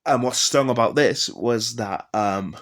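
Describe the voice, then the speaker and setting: A man's voice: mid-range voice, a little slow, a bit bright. A young man rambling casually and with enthusiasm about his old video games, with lots of fillers and asides. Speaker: gaming vlogger.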